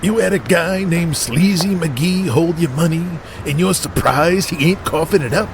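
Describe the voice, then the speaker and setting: A man's voice: on the high side, moderate pace, vocal fry, noisy background. An actor playing a reluctant, irritable tough-guy wrestler, curt and dismissive, in an audio drama. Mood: irritated, reluctant.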